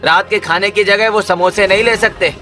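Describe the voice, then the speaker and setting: A man's voice: high voice, fairly even intonation, normal speed, bright, forceful tone, soft background music. A man playing a defensive, scolding young village husband in a cartoon story. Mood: angry, bossy. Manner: scolding, bossy, dismissive.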